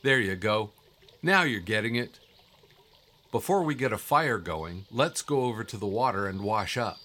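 An actor playing a calm, wise and assured guide in an audio drama, instructive and encouraging in manner. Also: male voice, fairly low pitch, moderate pace, slight background noise. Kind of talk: scripted audio drama acting.

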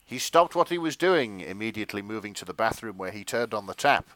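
Male narrator, forceful and highly animated, theatrical storytelling, dry recording. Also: fairly quick, dry recording. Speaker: podcast narrator. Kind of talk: dramatic comic reading of fan fiction with casual banter.

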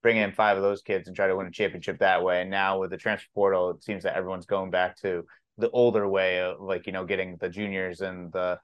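A man with a fairly low voice speaks as a podcast co-host. He chats casually about sports and interviews his guest in an informal, conversational way. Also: flat delivery, fairly quick, lo-fi sound.

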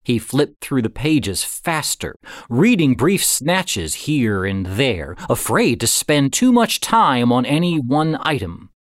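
A man narrating a story in a deliberate, dramatic way, with expressive phrasing and suspenseful pauses. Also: medium pitch, no echo, studio quality. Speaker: audiobook narrator.

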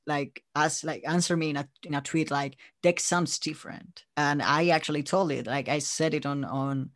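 A man's voice: on the high side, fairly even intonation, moderate pace, a bit bright, no echo, clean studio sound. Spanish-accented man in casual interview chat. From Spain. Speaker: interview guest, audio drama creator.

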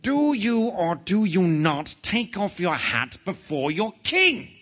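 Storybook king, played by a male narrator: imperious, indignant, commanding, wildly swinging pitch. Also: expressive, moderate pace, bright, forceful tone, husky, heavily acted. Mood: impatient, demanding. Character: imperious, furious old-fashioned king, quick to rage.

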